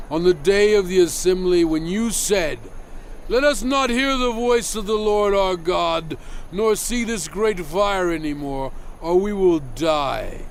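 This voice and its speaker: A man playing a solemn lawgiver prophet in a dramatized scripture reading, declaiming deliberately to his people. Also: high-pitched, a little hiss or hum, heavily acted. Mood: stern, warning. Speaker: narrator voicing a prophet in a dramatized audio Bible. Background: background music.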